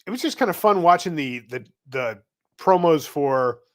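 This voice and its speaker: A man's voice: a little high, animated, moderate pace. A middle-aged man in casual conversation as a podcast co-host. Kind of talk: casual chat.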